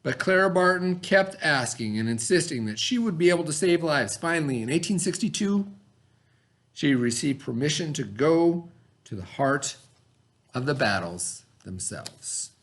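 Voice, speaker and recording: A man with a fairly high-pitched voice reads aloud as a schoolteacher would. His phrasing is expressive and instructive, suited to questioning pupils and explaining things simply. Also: moderate pace. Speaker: teacher reading aloud to pupils.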